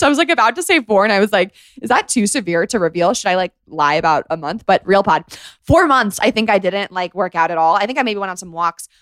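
A woman's voice: medium pitch, no echo. A young woman podcast host talks casually to her listeners, offering advice in an emphatic, girlfriend-to-girlfriend way. Her speech is chatty and sprinkled with slangy asides, and the recording is dry and close. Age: 20s.

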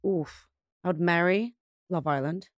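A woman's voice: on the low side, unhurried, no room sound. Chatty woman guest, dry close sound. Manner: chatty, rambling, full of "like". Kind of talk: casual chat.

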